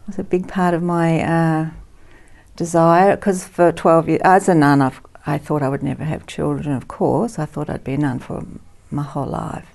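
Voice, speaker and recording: A woman, a guest in a TV studio interview, talking warmly and reflectively with frequent pauses. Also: sits low, fairly even intonation, creaky. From Netherlands, raised in Australia. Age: 60s. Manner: warm, reflective, frequent ums and soft laughs.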